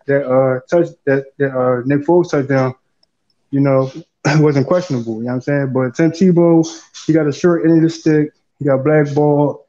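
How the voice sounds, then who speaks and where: A man from Philadelphia, a guest on a live talk stream, rambling casually and often saying "you know what I'm saying". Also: dark tone. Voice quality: says he has a deep voice. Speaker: guest caller. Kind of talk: casual chat. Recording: dull lo-fi stream audio.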